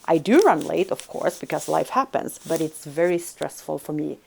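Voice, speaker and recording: A woman gives a recorded college lecture. Her voice sits low, with a gravelly, creaky edge. She explains step by step and often checks in with "right?". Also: animated, normal speed, darkish tone, poor audio. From Sweden. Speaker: business communication lecturer.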